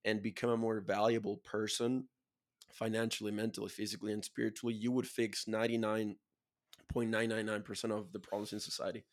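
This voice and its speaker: A man's voice: on the low side, level tone, fairly quick. A man from Chile hosting a podcast, talking casually and motivationally in an earnest, emphatic, slangy way full of "bro" and "dude". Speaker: podcast host.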